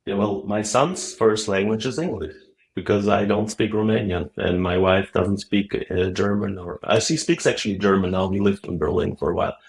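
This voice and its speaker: A middle-aged man from Moldova, a native Russian speaker, talking softly and haltingly with many fillers as a guest on a video call. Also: lowish voice, vocal fry, poor audio. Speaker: guest, Zen teacher. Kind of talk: relaxed interview talk. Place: dim wood-walled room.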